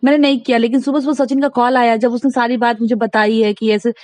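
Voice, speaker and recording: A woman's voice: fairly high pitch, little pitch movement, fast talker, darkish tone, dry recording. A woman talking casually in a recorded phone conversation, giving advice insistently and repeating herself for emphasis.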